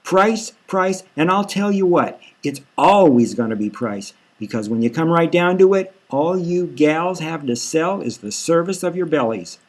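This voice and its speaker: Man reading as slick, pushy, high-pitched boss. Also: animated, unhurried, hardly any pauses. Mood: blunt hard sell. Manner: folksy hard-sell, cajoling. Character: slick, pushy, patronizing male boss of surrogate mothers. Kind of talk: satirical audiobook character reading.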